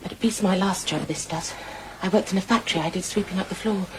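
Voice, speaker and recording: An actress playing a chatty, worldly-wise working-class housemaid in a radio drama, blunt and bossy in a matter-of-fact way. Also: female voice, medium pitch, fast talker, noisy background, lightly acted. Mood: brisk, bossy.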